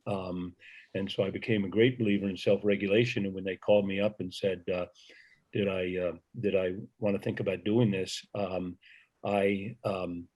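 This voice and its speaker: An older man speaking as a panelist, hesitant with many pauses and fillers. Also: low-pitched, level tone, darkish tone. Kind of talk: panel discussion.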